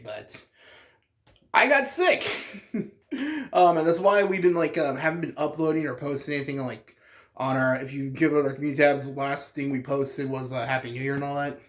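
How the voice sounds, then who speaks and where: Congested man chatting on his channel. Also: mid-range voice. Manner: rambling, chatty, many fillers and restarts. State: congested, stuffy voice. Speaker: card game channel host. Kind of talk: casual deck profile vlog.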